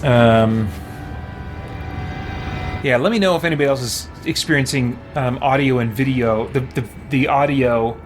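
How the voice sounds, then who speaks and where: Male game streamer, mid-pitched, casual and rambling, chatting to viewers over music. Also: a little slow, long pauses. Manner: rambling, thinking aloud. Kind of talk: casual livestream gameplay chat, reading viewer comments. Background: background music.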